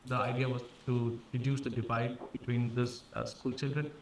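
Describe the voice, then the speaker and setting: Halting Nepali-accented man in an echoey room. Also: medium pitch, little pitch movement. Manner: halting, repeats and restarts phrases. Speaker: startup founder presenting a pitch. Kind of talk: pitch presentation and answering questions. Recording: echoey room, distant mic, faint noise.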